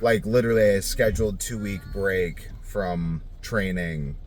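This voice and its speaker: A man's voice: sits low, measured pace, darkish tone. A man talking casually off the cuff for a video diary, thinking aloud in a rambling way. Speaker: vlogger.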